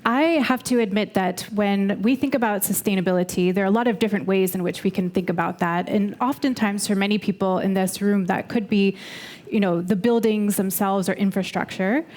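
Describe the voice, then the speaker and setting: A woman's voice: medium pitch, a little fast, darkish tone. An American woman hosting and interviewing onstage at an event, friendly and upbeat. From United States. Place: event stage before a live audience.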